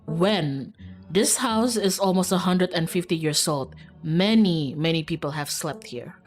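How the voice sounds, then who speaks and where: A woman's voice: on the low side, unhurried, lightly acted. Woman putting on a curt, haughty young cousin. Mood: dismissive. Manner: curt, condescending, formal. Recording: background music.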